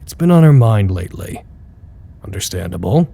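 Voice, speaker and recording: An actor playing a brooding, wary facility staffer recording his observations in an audio drama, measured and ominous with dry wit. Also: on the low side, very animated, gravelly, warm tone, husky, heavily acted. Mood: wary, pensive. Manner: measured, ominous, dryly wry.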